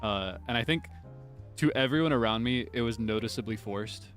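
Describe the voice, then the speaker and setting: A man's voice: on the low side, moderate pace, fairly bright tone, faint music behind. Chatty laughing young man streaming. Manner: chatty and self-deprecating, laughs often. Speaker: virtual streamer. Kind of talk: casual chat answering viewer comments.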